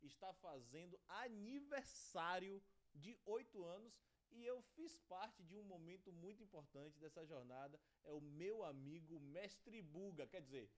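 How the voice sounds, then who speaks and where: A man's voice: high-pitched, lively intonation, a little slow. A Brazilian man hosting a studio podcast interview, talking with reverence about honor and friendship. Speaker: podcast host.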